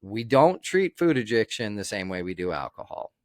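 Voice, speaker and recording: A male podcast host reads through his points in a measured, list-like way. His voice sits low for a man. The sound is dry and close, with no room echo. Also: very animated. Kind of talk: reading points aloud in an interview.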